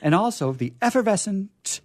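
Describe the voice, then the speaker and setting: A man hosting a radio program in a casual, chatty way, halting and often repeating his words. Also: high-pitched, big pitch swings, no echo. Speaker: radio host.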